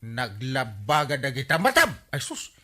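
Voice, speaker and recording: An actor playing a mocking, teasing village husband in a radio comedy drama, exclaiming in an Ilocano accent. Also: male voice, mid-range voice, huge pitch swings, moderate pace, pushed and bright.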